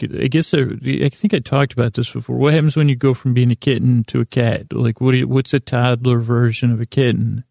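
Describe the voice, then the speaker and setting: Man for sleep podcast: flat, drowsy-dark delivery, rambling and stammering, trailing off mid-thought. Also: mid-range voice, gravelly, no echo, clean studio sound. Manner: halting, stammering, repeats and trails off. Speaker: host of a bedtime sleep podcast. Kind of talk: rambling, meandering bedtime monologue.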